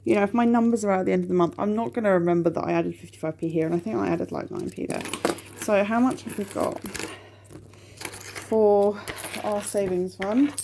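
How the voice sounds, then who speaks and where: A woman's voice: medium pitch, a little fast, creaky, dark tone. A woman in her thirties from the UK, chatting to camera at home about her budget, sniffly and rambling with lots of ums. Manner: chatty, rambling, with many ums and self-corrections. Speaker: budgeting vlogger. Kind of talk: casual chat to camera while counting cash.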